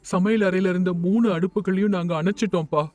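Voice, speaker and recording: Man as a solemn, high-voiced devoted son. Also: fairly even intonation, fast talker, a little mellow, dry recording, lightly acted. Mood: earnest remorse. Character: devoted, repentant grown son of a joint family. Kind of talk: animated moral story dialogue. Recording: music under the voice.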